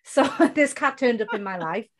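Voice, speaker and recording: A woman in her forties from the UK with a British accent, a podcast guest speaking casually and earnestly, slowing down to stress her points. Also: on the high side, expressive. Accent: British English. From United Kingdom. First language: Bengali. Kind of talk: casual interview conversation.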